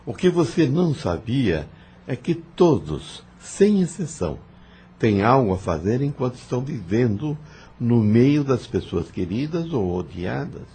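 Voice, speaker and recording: Spirit mentor, male actor: solemn, formal, patient teacher, heavily rasped husky voice, expressive intonation, audio drama. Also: mid-range voice, a little slow, heavily acted. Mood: solemn, instructive. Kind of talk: audio novel acting.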